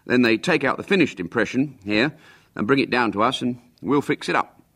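Chatty, rambling tradesman, voiced by the male reader; lively lilt. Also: medium pitch, fairly quick, slightly rough, pitched above the performer's own voice. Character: chatty working-class delivery man, plain and helpful.

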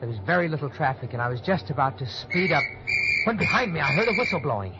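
Panicked young man, radio suspense narrator, higher-pitched, tense, stammering, muffled old recording. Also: sing-song, quick pace, very noisy. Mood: tense narrating. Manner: tense, stammering when pressed. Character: panicked young man narrating his own desperate cover-up. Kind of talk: old-time radio suspense acting, first-person narration. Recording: dull old radio recording, loud music.

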